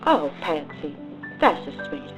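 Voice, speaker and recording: A woman's voice: deep voice, sing-song, soft background music, poor audio. A woman playing a kindly, folksy Southern drama coach, reassuring and doting, in a comic radio play. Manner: reassuring, folksy, drawn-out endearments.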